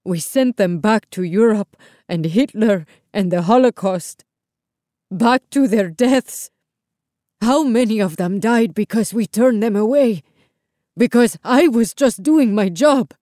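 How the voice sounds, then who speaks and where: Woman playing elderly Cuban grandfather, audiobook character, slow delivery, remorseful, tender, resolute, earnest, dry sound. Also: mid-range voice, warm tone, no echo, natural voice. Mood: anguished confession.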